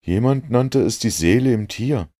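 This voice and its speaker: A man's voice: mid-range voice, animated, normal speed, dark tone, pitched above the performer's own voice, lightly acted. A man voicing a detective's eager male companion in an audiobook, speaking emphatically.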